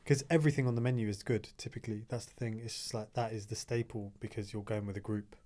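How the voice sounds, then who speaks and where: A mellow-toned man talks casually and keeps agreeing with the others. His easygoing manner fits a relaxed guest spot. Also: fairly low pitch. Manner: laid-back, agreeable, quick to back others up. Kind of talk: casual chat.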